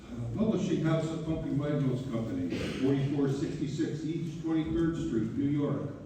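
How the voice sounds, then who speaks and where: A man playing a genial older publisher, reading his reminiscing letter aloud in a measured, nostalgic way. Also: on the high side, lightly acted. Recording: distant, very echoey hall, faint noise.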